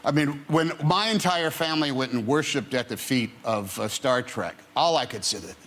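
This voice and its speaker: A man's voice: medium pitch, normal speed, fairly bright tone, slight background noise. A man, a chat show guest, joking playfully. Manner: jokey, playful. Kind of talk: casual chat show banter.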